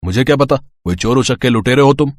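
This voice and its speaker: A man's voice: mid-range voice, brisk, no room sound, natural voice. A man voicing an arrogant, well-off roadside eatery owner who taunts and turns people away. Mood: dismissive. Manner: taunting, dismissive. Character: arrogant rich dhaba owner, mocking man.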